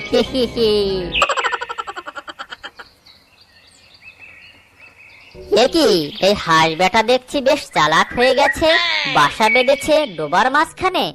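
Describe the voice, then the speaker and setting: A greedy, crafty cartoon fox with a fairly high voice, performed by a woman. The fox talks in a smug, scheming way. Music runs underneath. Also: natural voice. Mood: greedy, gleeful. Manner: crafty, self-satisfied. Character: sly greedy fox, scheming egg thief. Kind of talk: children's cartoon voice acting. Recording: music behind the voice.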